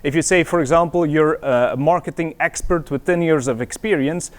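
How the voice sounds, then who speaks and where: Man, Belgian accent, middle-aged, conference presenter, smooth resonant voice, slightly high pitch, hesitant with fillers. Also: fairly quick. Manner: hesitant, many fillers and restarts. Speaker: conference speaker.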